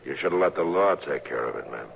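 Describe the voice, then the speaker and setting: An actor playing a calm, measured frontier marshal in a 1950s radio drama, speaking in a deep, gravelly voice. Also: male voice, full character voice. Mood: gentle. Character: steady, gruff frontier lawman. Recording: dull old radio recording.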